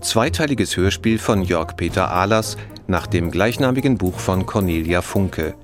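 A man's voice: sits low, expressive, measured pace. A man announcing a radio play in a scripted title style. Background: music.

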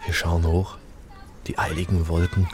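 An actor playing a reflective lover in a radio play, speaking in short, lyrical, incantatory fragments. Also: male voice, low-pitched, level tone, moderate pace, darkish tone, natural voice. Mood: tender, subdued. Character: reflective male lover recalling childhood and family.

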